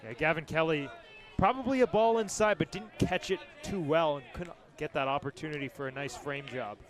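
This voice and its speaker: A man's voice: a little high, brisk. A man giving live analysis as the color commentator on a baseball radio broadcast.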